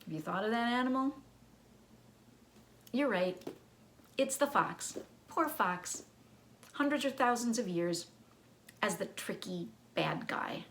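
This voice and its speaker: Creaky-voiced woman teaching children. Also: a little high, expressive, takes it slowly, pauses often, a bit bright, a little hiss or hum. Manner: teacherly, asks rhetorical questions, simple explanatory phrasing. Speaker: children's librarian and storyteller. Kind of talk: educational talk addressed to children.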